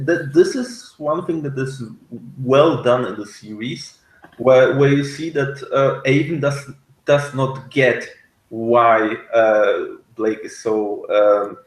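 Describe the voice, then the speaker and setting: A man in a casual discussion of a TV series, speaking haltingly as he searches for words. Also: medium pitch. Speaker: reviewer on a discussion show. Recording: echoey room sound.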